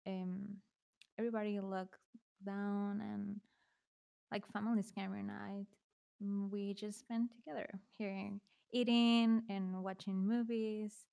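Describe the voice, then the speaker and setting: A woman from Mexico speaks in a relaxed chat with noticeable vocal fry. She sometimes stammers and restarts her sentences. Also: medium pitch, slow pace. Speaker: podcast guest. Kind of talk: casual chat.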